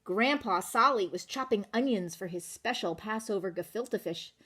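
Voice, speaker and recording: A woman reading a story to young children, expressive and addressing her listeners directly with questions. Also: medium pitch, normal speed, slightly rough. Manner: expressive, asks listeners questions, varies volume. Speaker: children's story reader, teacher.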